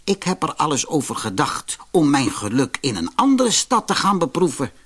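A sly, lazy swindler of a dyer from a storybook, put on by a male narrator. He talks with sanctimonious, feigned reluctance in a husky, swooping male voice. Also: a little high, big pitch swings, normal speed, fairly bright tone, exaggerated voice. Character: lazy, greedy, hypocritical male cloth dyer, a cheat. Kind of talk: storybook character voice.

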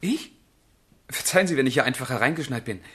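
Husky, animated young gentleman charmer. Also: medium pitch, fast. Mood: gallant. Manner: playful, gallant. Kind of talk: period radio drama acting.

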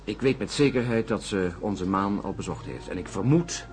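An actor playing an intense, insistent astronaut in a radio drama, speaking emphatically with weighty pauses. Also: male voice, fairly low pitch, faint music behind, lightly acted. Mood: insistent, confident. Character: intense, insistent male astronaut expounding his theory. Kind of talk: Dutch science fiction radio drama.

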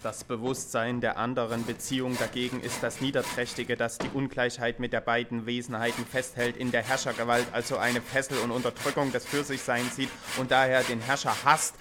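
A man playing a dry, pompous pseudo-scholar, formal and deadpan, in an amateur comic radio play. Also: medium pitch, brisk, hardly any pauses, close to an everyday voice. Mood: lecturing. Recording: noisy, with sanding and sawing.

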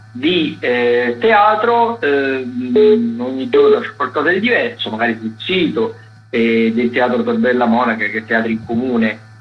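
A man hosting a radio arts rundown, loosely improvised, hesitant and wordy, often correcting himself as he goes. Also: mid-range voice. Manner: informal, hesitant, self-correcting, wordy with hedging asides. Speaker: radio host presenting arts listings. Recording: dull lo-fi radio sound, music behind. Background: music.

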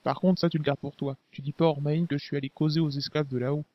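Male actor as a street-smart young rebel; rapid, slangy, higher voice, dry lo-fi take. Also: little pitch movement, close to an everyday voice. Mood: casual. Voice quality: casual slang, hesitant fillers. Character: young male Nikean resistance fighter, brash and slangy.

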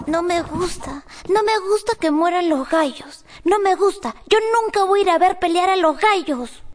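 A small, tender-hearted girl in a radio drama. The voice is extremely high, childlike and pleading, full of worry. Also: normal speed. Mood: upset.

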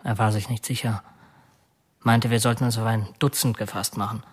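An actor playing a man who confesses to a burglary turned killing, recounting it in measured, matter-of-fact detail in an audio drama. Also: lowish voice, little pitch movement, slightly rough. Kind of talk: audio drama monologue, confession under questioning.

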